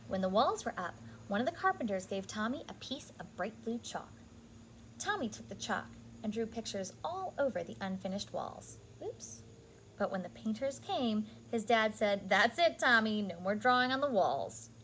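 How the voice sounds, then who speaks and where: A woman reading a picture book aloud to young children in an expressive, deliberate storytelling style, adding asides for her listeners. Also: mid-range voice, slight background noise, low-quality recording.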